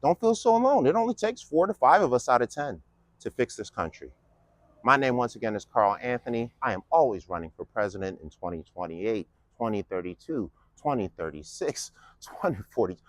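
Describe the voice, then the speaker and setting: A man's voice: mid-range voice, very animated, fairly quick, no echo. A man in his fifties delivering a casual, mocking political monologue with lots of rhetorical questions. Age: 50s. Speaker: vlogger giving a political speech.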